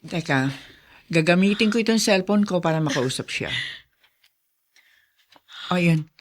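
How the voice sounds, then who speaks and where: A Filipino actress playing a concerned, helpful friend in a radio drama, sympathetic and gently questioning. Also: female voice, on the low side, lively intonation, unhurried, a little hiss or hum.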